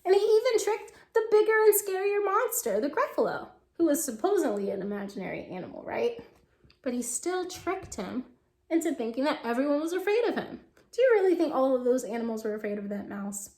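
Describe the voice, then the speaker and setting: A woman reading to young children and discussing the book, expressive and asking questions directly to the listeners. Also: high-pitched, fairly quick, roomy sound. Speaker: children's story reader and teacher. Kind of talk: animated read-aloud and discussion for young children.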